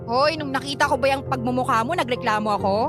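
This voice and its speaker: An actress playing a brash, teasing young best friend in comedic radio drama banter, sassy and full of exclamations. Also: female voice, high voice, fast talker, music in the background, close to an everyday voice.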